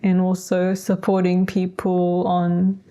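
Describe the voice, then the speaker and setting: A young woman from New Zealand with a Kiwi accent, co-hosting a casual podcast in a calm way, often laughing and humming along. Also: fairly low pitch, slow pace. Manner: calm, laughs often, short agreeing hums. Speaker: podcast co-host. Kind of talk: casual chat.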